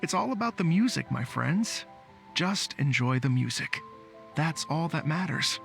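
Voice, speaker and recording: A man's voice: a little high, very animated, unhurried, gravelly, music in the background, full character voice. A man playing an upbeat, easygoing rock musician with a showman's manner in a video game. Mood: earnest.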